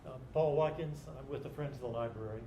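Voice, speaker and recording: A man's voice: medium pitch, fast talker, highs cut off. A man performing as a reader in a staged literary dramatization. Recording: echoey live stage recording, faint noise.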